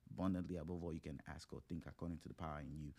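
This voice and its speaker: A man in his thirties with a low voice talks as a guest in a casual interview. He is earnest and motivational, building each point in short phrases. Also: fairly even intonation, racing, creaky, darkish tone, slightly rough. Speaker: podcast guest.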